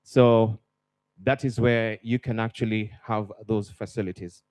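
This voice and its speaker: A man's voice: fairly low pitch, little pitch movement, a little slow, a little mellow. A man working as master of ceremonies at a conference, upbeat and chatty as he welcomes guests and gives housekeeping notes. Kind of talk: conference emcee announcements. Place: conference hall.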